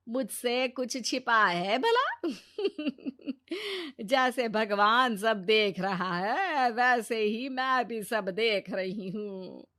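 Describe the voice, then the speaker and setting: A woman's voice: on the high side, fairly bright tone. A woman voicing an elderly, suspicious and boastful mother-in-law in a cartoon story, theatrical and fussy in her delivery. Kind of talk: Hindi cartoon storytelling dub.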